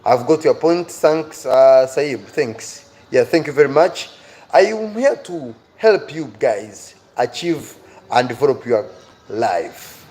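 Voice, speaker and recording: A man's voice: mid-range voice, animated, takes it slowly, darkish tone. A man with an African accent giving a motivational live lesson to viewers as their teacher, earnest and emphatic, repeating key phrases.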